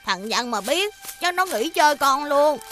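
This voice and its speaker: Timid high-voiced village boy. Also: expressive, unhurried, over music. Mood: defensive. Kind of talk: animated fairy-tale dubbing.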